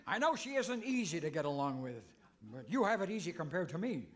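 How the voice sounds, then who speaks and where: Man acting a flustered cowboy hero, fairly high voice. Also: big pitch swings, brisk, fairly bright tone. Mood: sheepish. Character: flustered, sheepish masked cowboy hero at home. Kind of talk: old-time radio comedy acting.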